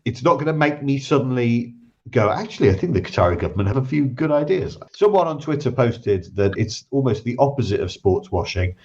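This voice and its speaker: A man hosting a casual football podcast, husky from a cold, teasing his co-host and reeling off stats dryly. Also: medium pitch, expressive, brisk, a little mellow. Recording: dull lo-fi remote audio with faint hiss.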